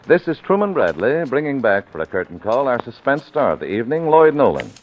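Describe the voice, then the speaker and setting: A man's voice: mid-range voice, big pitch swings, brisk, dull audio. An American man announcing in scripted 1940s radio style, a sponsor's spokesman with polished, emphatic salesmanship. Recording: old radio recording, faint music behind.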